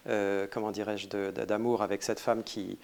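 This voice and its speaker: A man from France speaking as an interview guest, measured and hesitant, often searching for his words. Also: lowish voice, little pitch movement, vocal fry, darkish tone. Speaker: interview guest, cultural institute director. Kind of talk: conversational interview.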